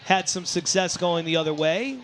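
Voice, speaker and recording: A man calling live baseball play-by-play, descriptive between plays and excitable on big ones. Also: high-pitched, normal speed. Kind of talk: live sports play-by-play commentary. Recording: crowd and music behind commentary. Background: ballpark crowd, music.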